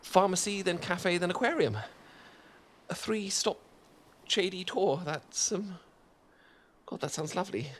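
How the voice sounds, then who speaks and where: A man's voice: high voice, unhurried, long pauses, a bit bright, pitched above the performer's own voice. A man with a British accent playing an awkward, sweet, sunburned young man in an ASMR roleplay, stammering and self-deprecating. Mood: flustered. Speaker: ASMR performer.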